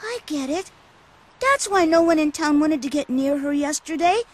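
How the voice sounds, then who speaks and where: A woman's voice: high-pitched, animated, normal speed. A woman voicing a naive, cheerful young boy in a video game, earnest and inquisitive. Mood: curious. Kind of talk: video game cutscene voice acting.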